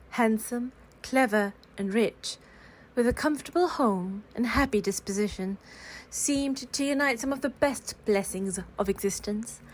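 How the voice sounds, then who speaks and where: Higher-pitched woman reading a classic novel aloud. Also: rough recording. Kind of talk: scripted literary read-aloud, cozy and calm.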